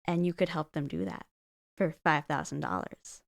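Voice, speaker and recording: An actress playing a bossy, unflappable secretary in a radio drama, brisk, insistent and matter-of-fact. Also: female voice, sits low, creaky, no echo, clean studio sound. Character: Greta, bossy, persistent young female secretary, unflappable.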